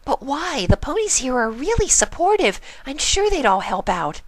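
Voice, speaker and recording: A woman voicing a bubbly, excitable young female pony with gushing, emphatic, childlike talk in a story reading. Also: sits high, normal speed, dry recording, pitched above the performer's own voice. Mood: friendly, reassuring.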